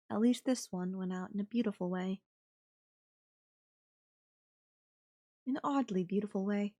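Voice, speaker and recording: A woman voicing a whimsical, flippant goddess in an ASMR roleplay, musing airily with long dramatic pauses. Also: medium pitch, a little mellow. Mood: wistful, detached. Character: whimsical, airy, flippant yandere goddess watching Earth die. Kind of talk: ASMR audio roleplay acting.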